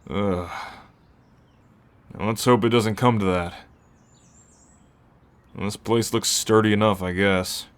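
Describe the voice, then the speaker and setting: A man playing a gruff, brooding teacher who is turning into a werewolf, halting and protective, in an ASMR roleplay. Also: fairly low pitch, steady tone. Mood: teasing. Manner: halting, sighing, sardonic.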